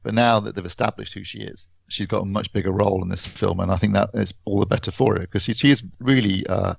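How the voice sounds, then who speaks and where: A man speaks briskly and emphatically as a podcast film reviewer, with a creaky edge to his voice. The sound is dry and slightly dull, as in a casual remote chat. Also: lowish voice, fairly even intonation, no room sound.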